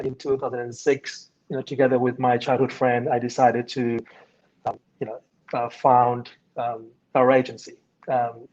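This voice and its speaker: A man's voice: medium pitch, darkish tone. Hesitant man, slightly muffled sound. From Vietnam. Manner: hesitant, many ums and you-knows. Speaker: agency founder, guest. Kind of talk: casual interview answers. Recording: dull lo-fi sound.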